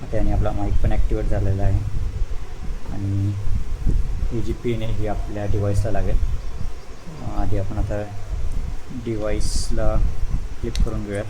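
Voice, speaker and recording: Low, even-toned man, noisy recording. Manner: informal, addresses viewers as friends, mixes in English words. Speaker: vlogger giving a product installation tutorial. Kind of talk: casual step-by-step hands-on demonstration.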